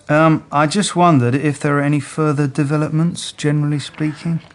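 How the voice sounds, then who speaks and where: A man's voice: fairly high pitch, steady tone, normal speed, creaky. Mumbling, understated male detective. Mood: awkward. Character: mild, weary male detective caught between colleagues. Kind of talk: radio drama acting.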